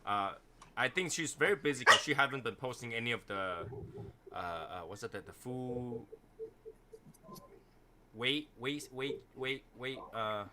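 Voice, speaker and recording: A man's voice: mid-range voice, animated, unhurried, long pauses, noisy background. A man in his thirties from Boston hosting a casual live stream chat in a rambling, repetitive way. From Boston, United States. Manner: rambling, repeats himself. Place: small foam-padded home studio.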